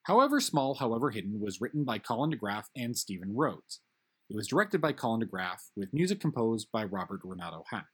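Husky man reading show credits. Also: on the low side, big pitch swings, brisk, fairly bright tone. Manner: friendly, upbeat, conversational. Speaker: producer reading the closing credits. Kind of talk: scripted outro and credits.

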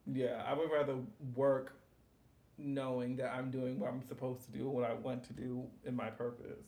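A man's voice: medium pitch, normal speed, roomy sound. A man in casual conversation, playful and laughing often as he riffs on everyday topics. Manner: playful, laughing, animated storytelling. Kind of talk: casual chat.

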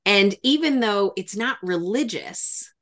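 A woman's voice: mid-range voice, animated, measured pace. A woman hosting a reflective interview, speaking in an effusive, emphatic way. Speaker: interviewer, podcast host.

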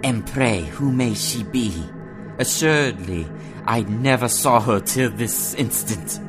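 A man's voice: medium pitch, takes it slowly, natural voice. A man playing an earnest, courtly young prince in an audio drama, telling his tale in archaic prose and verse. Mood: courtly, firm. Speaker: narrator. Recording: music under narration.

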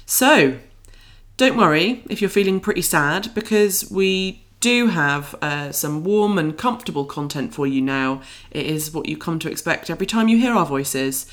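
A woman's voice: deep voice, animated, normal speed. A woman hosting an arts podcast in casual chat, with a playful, wryly joking manner.

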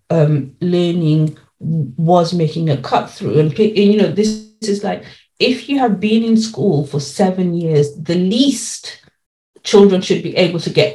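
A woman's voice: lowish voice, a little mellow, poor audio. A woman speaking as an interview guest, earnest and emphatic, repeating key phrases to make her point. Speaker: interview guest, education policy lead. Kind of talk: spoken interview answers.

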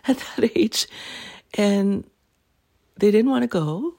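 A middle-aged woman from Canada, hosting a podcast and telling a family story in casual chat, clearing her throat as she loses her voice. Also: mid-range voice, expressive, takes it slowly, dark tone. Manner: laughing, self-deprecating jokes. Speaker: podcast host.

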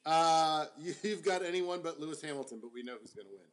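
A man co-hosting a casual sports podcast, tossing out teasing jokes in quick banter. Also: a little high. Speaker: podcast co-host.

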